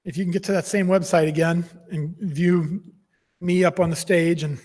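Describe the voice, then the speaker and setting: A man's voice: high voice, fairly even intonation, normal speed, a little mellow, slightly rough, long reverb tail. A man speaking casually on stage at a live event, chatting with the audience and checking the sound. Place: hall, on stage.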